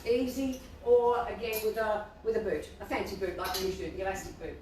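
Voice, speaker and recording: A woman's voice: medium pitch, quick pace. Bubbly woman, echoey and distant. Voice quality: quiet voice. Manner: bubbly, rambling, self-deprecating. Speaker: fashion boutique co-host. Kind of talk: casual live-stream chat. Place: echoey room. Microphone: no mic, far from laptop camera. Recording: echoey room, far from mic, faint hiss.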